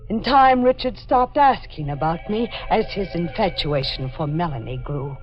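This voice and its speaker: A low-voiced, bitter, haunted young woman played by a radio actress broods melodramatically in a 1940s horror drama. The recording is dull and old, with faint music behind. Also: animated, normal speed, natural voice. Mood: brooding, haunted. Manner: brooding, melodramatic first-person storytelling.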